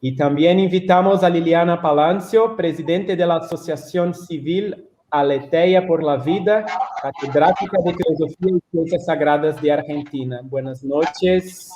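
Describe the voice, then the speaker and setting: A man whose first language is Portuguese, with a Brazilian accent, moderating a panel and introducing guests in halting Spanish. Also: fairly high pitch, warm tone, a little hiss or hum. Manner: hesitant, halting, with fillers.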